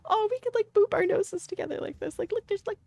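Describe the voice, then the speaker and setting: A woman's voice: extremely high pitch, quick pace, warm tone, no room sound. A woman livestreamer rambling casually to her viewers in a playful, goofy way, full of slang and silly exclamations. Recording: music playing behind the voice.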